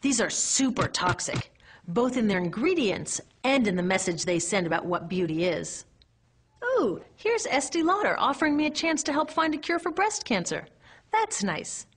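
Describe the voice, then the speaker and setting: A woman's voice: fairly high pitch, sing-song, moderate pace, breathy edge. A woman with an American accent presenting a scripted explainer in a conversational, wry way, often posing rhetorical questions. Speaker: narrator and presenter.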